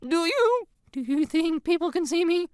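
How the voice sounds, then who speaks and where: A woman acts out an anxious teenage girl in a storybook narration. The voice sits high and swings widely in pitch. The delivery is whiny and sarcastic, with a dramatic, put-upon temper. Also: wildly swooping pitch, a little slow, fairly bright tone, no echo, studio quality, heavily acted.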